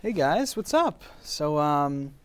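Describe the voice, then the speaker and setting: A man chatting casually and haltingly as a performer in a comedy radio show. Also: a little high, very animated, smooth. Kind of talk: casual improvised chat.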